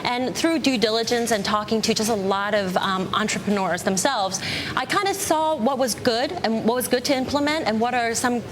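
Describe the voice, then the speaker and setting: A woman's voice: normal speed, over music. A woman in her thirties with an American accent, answering questions as an entrepreneur guest in a broadcast interview. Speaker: guest entrepreneur, company co-founder. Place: open TV studio beside a newsroom. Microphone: lapel. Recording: clear background rumble and noise.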